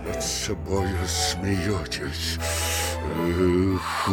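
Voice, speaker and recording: An actor playing an enraged, humiliated middle-aged town mayor in a theatrical radio play, declaiming from mutters to roars. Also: male voice, deep voice, steady tone, extremely slow, over loud music, exaggerated voice. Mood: muttering fury.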